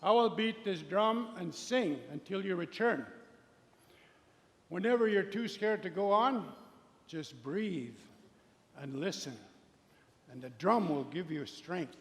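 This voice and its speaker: A man playing a calm, wise elder who beats a drum as a guide in a live stage play, heard in a reverberant hall. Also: high voice, takes it slowly, long pauses. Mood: reassuring.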